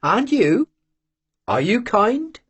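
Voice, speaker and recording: A man voicing a bold, sharp-tongued young Cossack woman who talks curtly and mockingly, in a husky voice. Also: high-pitched, big pitch swings, extremely slow, pitched above the performer's own voice, heavily acted. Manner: curt, scolding.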